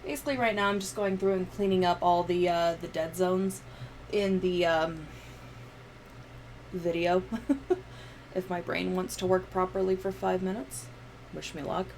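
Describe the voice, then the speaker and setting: A woman's voice: fairly low pitch, steady tone, measured pace, long pauses, a little mellow, poor audio. A woman hosting a livestream, chatting casually and informally to her viewers. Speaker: livestream host. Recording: clear background noise.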